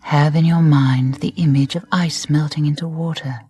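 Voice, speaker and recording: A woman narrating a guided meditation in a soft, breathy voice, soothing and deliberate, with gentle phrasing and pauses. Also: deep voice, steady tone, no echo. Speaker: relaxation guide. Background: music.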